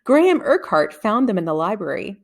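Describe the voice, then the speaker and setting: A woman's voice: medium pitch, big pitch swings, a little fast, vocal fry. A woman voicing an amused female schoolteacher sharing news in a storybook reading.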